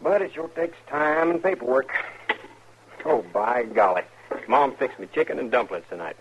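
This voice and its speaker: An actor playing a mild, plain-spoken young frontier bank clerk with an American accent, in a 1950s radio drama. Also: male voice, medium pitch, lively intonation, moderate pace, slight background noise, lightly acted. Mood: amiable, casual. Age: young adult. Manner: plain-spoken, amiable, hesitant. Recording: dull old radio recording.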